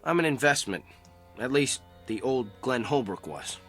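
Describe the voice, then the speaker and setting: Man acting as sharp-voiced, bitter, troubled patient. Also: mid-range voice, expressive, moderate pace, soft background music, natural voice. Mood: bitter, wry. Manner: wry, curt, defensive. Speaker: actor in a radio drama. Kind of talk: audio drama acting.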